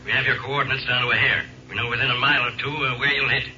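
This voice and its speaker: An American man playing a brisk, grave army general in a 1950s radio drama, businesslike and urgent. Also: mid-range voice, little pitch movement, pushed and bright. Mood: grave, matter-of-fact. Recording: dull, noisy 1950s radio recording.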